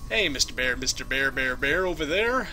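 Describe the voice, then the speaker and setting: Goofy, bright-voiced man playing a game. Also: medium pitch, expressive, moderate pace. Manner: goofy, jokey, talks to the game characters. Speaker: let's play host. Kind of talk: casual gameplay commentary. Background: game music.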